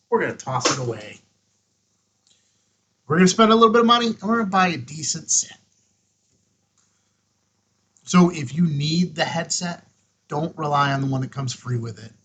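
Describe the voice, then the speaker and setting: A man's voice: fairly high pitch, sharp tone, breathy edge. A man hosting a casual hands-on product review, talking in a folksy, emphatic way with short punchy phrases. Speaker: host of a ham radio review show.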